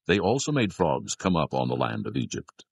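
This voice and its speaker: A man giving solemn, measured scripted narration for a dramatized scripture reading. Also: a bass voice, wildly swooping pitch, vocal fry, husky, dry recording.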